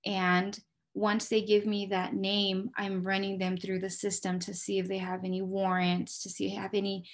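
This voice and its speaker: A woman's voice: medium pitch, monotone, moderate pace. American woman explaining her work, candidly. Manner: candid, explanatory, poses rhetorical questions and answers them. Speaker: police dispatcher, interview guest. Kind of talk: conversational interview answers, explaining a career.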